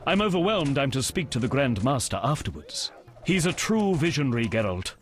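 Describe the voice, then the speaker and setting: An actor playing an earnest, idealistic young knight in a video game, formal and eager. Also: male voice, medium pitch, sing-song, moderate pace, slight background noise, lightly acted. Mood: proud, eager. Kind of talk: video game dialogue acting.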